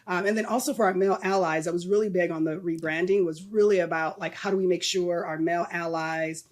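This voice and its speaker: A woman's voice: fairly low pitch, fairly even intonation, quick pace. A middle-aged woman from Southern California, a guest talking casually in a podcast interview, with many ums and restarts and easy laughter. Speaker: guest, nonprofit executive.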